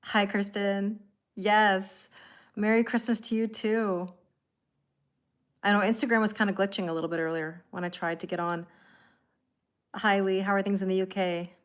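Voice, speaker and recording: A Canadian woman in her thirties hosts a live stream in a casual, chatty way. She greets viewers one after another at a middle pitch. Her talk is friendly, with frequent laughs and easy asides. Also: little pitch movement, normal speed, long pauses. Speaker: tarot reader hosting a live stream.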